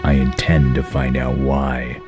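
A man playing a grim masked vigilante, narrating a noir comic drama in a terse, deadpan way. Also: a bass voice, measured pace, over music. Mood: grim, brooding.

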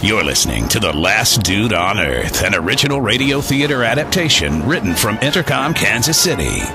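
A man's voice: fairly low pitch, big pitch swings, moderate pace. An American man announcing a spooky radio drama. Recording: music under the voice.

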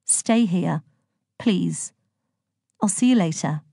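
A woman's voice: lowish voice, takes it slowly, creaky, mellow, slightly rough, no room sound, clean studio sound, heavily acted. A woman voicing a guarded, worried but polite schoolboy in a storybook, with a defensive, hesitant manner. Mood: defensive, weary.

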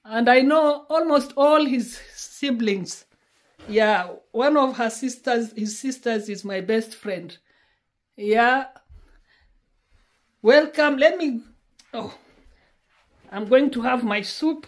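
A woman's voice: on the high side, slow, pauses often. Kenyan-born woman vlogging from home. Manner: warm, pleading, repeats words often. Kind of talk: casual vlog chat to camera. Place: small home, indoors. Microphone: phone camera held at arm's length.

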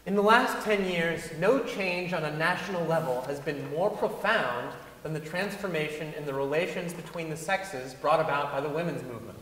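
Young man, slightly high voice, formal stage reading in an echoing hall. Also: normal speed, few pauses, a bit bright, slight background noise. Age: young adult. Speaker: reader in a dramatic reading. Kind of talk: staged reading of speeches and letters. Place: large wooden concert hall stage. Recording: echoey concert hall with long reverb.

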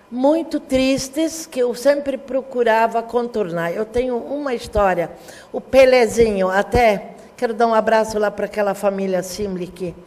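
An older woman, a retired teacher and historian, recounting memories in a TV studio interview with animated gestures. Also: on the high side, in a large hall. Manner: warm storytelling, animated hand gestures. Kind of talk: reminiscing interview talk. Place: small TV studio.

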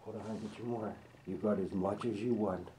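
A man talking softly to a kitten while he feeds it, often close to a whisper. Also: lowish voice, steady tone, brisk, slight background noise, rough recording. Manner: gentle, murmuring, often near a whisper. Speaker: pet owner feeding a kitten. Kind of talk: soft talk to an animal. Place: indoor room, at a pet cage.

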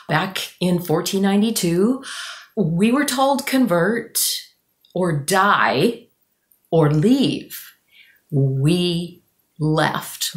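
A woman with a fairly low voice speaks as a podcast guest. She tells stories expressively, placing deliberate pauses for emphasis. The room adds clear echo around her voice. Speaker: guest author. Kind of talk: conversational storytelling in a podcast interview. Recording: roomy, echoing podcast audio.

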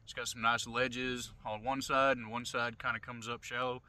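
A man's voice: medium pitch, flat delivery, moderate pace, sharp tone. A man in his thirties with an American accent, talking casually and folksily in clipped phrases as a fishing vlogger. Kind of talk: casual outdoor fishing vlog. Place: open boat on a wide river, outdoors. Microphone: camera at arm's length or across the boat. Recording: outdoor wind and water noise, often distant.

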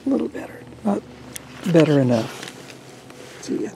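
A woman's voice: deep voice, very animated, moderate pace, mellow, slight background noise, poor audio. A woman casually commenting on her fishing from a small boat on a lake, chatting folksily to the fish she catches, recorded on a boat-mounted camera. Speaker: fishing vlogger.

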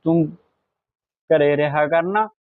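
A man's voice: on the high side, takes it slowly, no echo, phone-quality sound. A man acting a controlling, suspicious, irritable husband in a short film, with a Punjabi accent. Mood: scolding.

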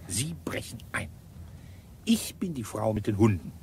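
An actor playing a grumpy, gloomy middle-aged police inspector in a radio crime drama, grumbling and blustering. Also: male voice, fairly low pitch, sing-song, measured pace, creaky, darkish tone, a little hiss or hum, full character voice. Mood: brusque, stubborn. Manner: grumbling, blustering, self-deprecating.

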